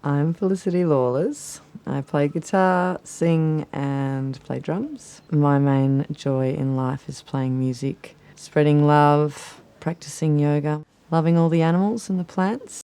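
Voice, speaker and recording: A woman speaking calmly and gently in a documentary interview. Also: sits low, smooth. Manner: calm, gentle and unhurried. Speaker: guitarist, singer and drummer.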